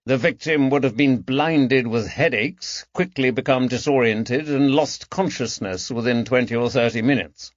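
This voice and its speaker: A man voicing a brisk, sardonic gentleman surgeon in an audiobook, clipped, formal and condescending. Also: medium pitch, no echo, lo-fi sound, close to an everyday voice. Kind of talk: audiobook character dialogue.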